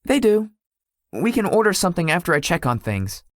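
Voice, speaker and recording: A woman's voice: on the low side, very animated, a little fast, no echo, studio quality. A woman voicing a shy, polite young male tech executive in a visual novel, slightly formal and hesitant in manner. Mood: relaxed, friendly. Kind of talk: visual novel character dialogue.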